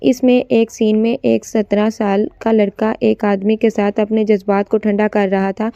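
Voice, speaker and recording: A woman's voice: medium pitch, flat delivery, unhurried, dark tone, no echo, highs cut off. A woman narrating a scripted first-person story, occasionally stumbling as she reads.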